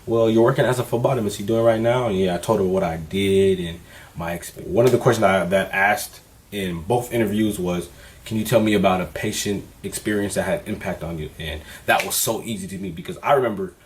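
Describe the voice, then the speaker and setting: A man in his twenties vlogging casually, candid and relaxed, with plenty of fillers as he talks through his experiences. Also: deep voice, a little fast, slight background noise. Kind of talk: casual vlog advice.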